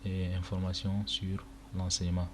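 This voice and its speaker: Young male tutor from Chad, first language Arabic, deep flat delivery, halting and casual, noisy home recording. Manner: casual, halting, many fillers. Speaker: tutorial presenter. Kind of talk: screen-recorded walkthrough. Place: indoor room at a computer.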